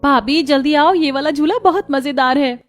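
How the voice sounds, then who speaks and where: A woman's voice: high-pitched, normal speed, close to an everyday voice. A woman voicing an eager, playful young sister-in-law in a cartoon. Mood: excited.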